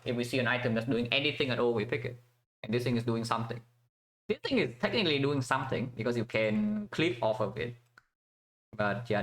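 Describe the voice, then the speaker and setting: A man's voice: medium pitch, fairly bright tone. A man from Vietnam giving casual commentary while playing a strategy game, thinking aloud with many fillers and restarts.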